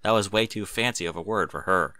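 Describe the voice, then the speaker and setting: A man's voice: deep voice, brisk, pushed and bright, no room sound. A man reading scripted fiction aloud as an audiobook narrator.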